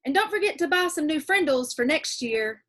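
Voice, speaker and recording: Young storybook schoolboy voiced by a woman: courteous, reserved with a cheeky streak, plain-spoken, fairly high pitch. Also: animated, normal speed, fairly bright tone. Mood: cheerful. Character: polite fifth-grade schoolboy, reserved but cheeky.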